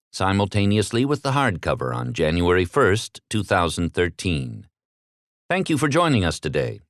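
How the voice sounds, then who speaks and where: Deep-voiced American man, very expressive intonation. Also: dry recording, clean studio sound. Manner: polished, formal announcer delivery. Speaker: interviewer, audiobook narrator. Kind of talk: scripted introduction and interview questions.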